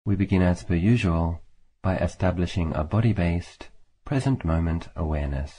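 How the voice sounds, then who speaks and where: A man leading a guided meditation as a teacher, speaking in a calm, measured way with long pauses between phrases. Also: deep voice, poor audio. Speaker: Buddhist monk, meditation teacher. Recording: faint background hiss.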